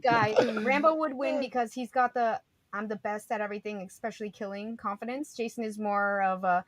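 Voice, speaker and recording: A woman's voice: fairly high pitch, animated, a little fast. A woman co-hosting a casual talk show, chatting and reading out listener comments. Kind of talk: casual chat.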